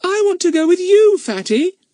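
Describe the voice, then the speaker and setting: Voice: very high-pitched, sing-song, moderate pace, warm tone, dry recording, studio quality, pitched above the performer's own voice. A narrator voicing an anxious, clingy little girl in a storybook. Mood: relieved.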